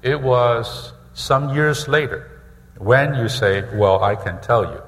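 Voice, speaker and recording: Middle-aged male pastor, deliberate sermon delivery with repeated phrases, long hall reverb. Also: mid-range voice, a bit bright. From Hong Kong. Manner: measured, repeats phrases for emphasis. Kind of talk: sermon testimony.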